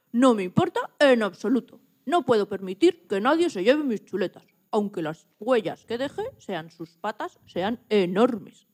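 A woman's voice: a little high, a little mellow. A woman voicing a small, anxious and polite piglet in a children's radio play, exclaiming and muttering questions to itself. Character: small anxious, polite young piglet searching for lost chops.

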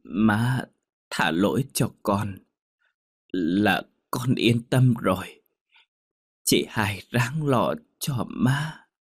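A man voicing a dying young woman who speaks slowly in a faint, trembling whisper. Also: on the low side, animated, a little mellow, breathy edge, exaggerated voice. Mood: faint, pleading.

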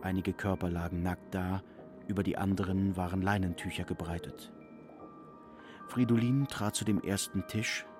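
Casting: male narrator for literary audio drama, very low voice, music underneath. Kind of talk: literary radio play narration.

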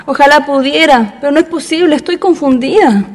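A woman's voice: high-pitched, brisk, long reverb tail, natural voice. A woman playing a troubled, confused young woman in a radio drama, hesitant and downcast. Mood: troubled, wistful.

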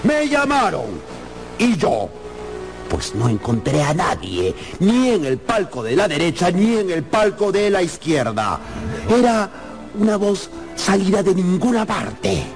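A man's voice: sits high, big pitch swings, unhurried, full character voice. A man playing a formal, puzzled police inspector in a radio drama, with an emphatic, theatrical delivery. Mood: puzzled, wry. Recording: radio drama over clear background music.

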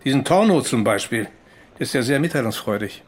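An actor playing a brisk senior police officer, dry-witted and authoritative, in a radio drama. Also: male voice, mid-range voice, expressive, faint music behind, natural voice. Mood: brisk, wry. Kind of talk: modern German radio drama acting.

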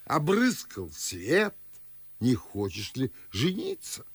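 An actor playing a fussy, grumbling older Moscow father and official in a verse radio play, with drawn-out declamatory delivery. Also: mid-range voice, wildly swooping pitch, creaky, breathy edge, no echo, exaggerated voice. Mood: irritated, fussy. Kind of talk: theatrical audio drama in verse.

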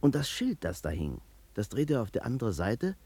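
An actor playing a nervous, talkative barber in a 1950s radio crime play, with a chatty, colloquial manner. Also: male voice, medium pitch, wildly swooping pitch, moderate pace, vocal fry, warm tone, breathy edge, no echo, heavily acted. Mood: uneasy recounting. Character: nervous, talkative Berlin barber, afraid of the courts. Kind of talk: 1950s German radio play acting, first-person narration.

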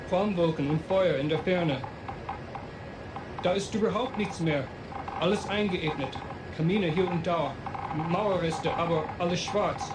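An actor playing a shaken, grave radio reporter describing things tersely from a plane in a German radio drama. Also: male voice, a little high, natural voice. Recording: echoey, distant, noisy aircraft cabin transmission.

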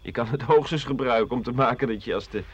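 An actor in a radio play playing a coarse, slangy, bitter and scornful trader-thief at a colonial outpost. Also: male voice, mid-range voice, quick pace, breathy edge, close to an everyday voice. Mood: shifty, gruff. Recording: dull 1980 radio recording.

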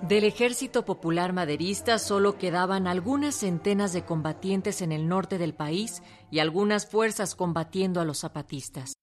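A woman narrates scripted history for radio. Her voice is slightly low, set against a clear music bed. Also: normal speed, few pauses.

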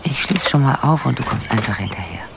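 Creaky, hushed, watchful brooder. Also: female voice, rumbling low, expressive, a little fast, very noisy, heavily acted. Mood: hushed, coaxing. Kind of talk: radio crime drama inner monologue.

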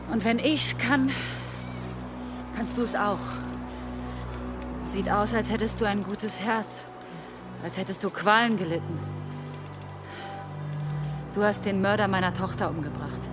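A woman's voice: mid-range voice, takes it slowly, pauses often, over music, lightly acted. An actress playing a plain-spoken, forgiving village mother in a German radio drama. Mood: calm, forgiving.

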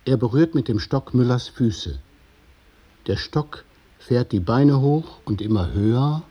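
A man narrating a radio play in the present tense, in a measured, literary storytelling manner. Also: mid-range voice, lively intonation, mellow, husky, muffled recording. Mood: observing. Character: observing narrator of stage directions.

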